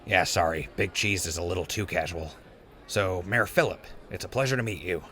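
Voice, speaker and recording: A man's voice: deep voice, fairly quick, sharp tone, a little hiss or hum. A man playing a friendly, wisecracking ghoul wastelander in an audio drama, with a casual, joking manner.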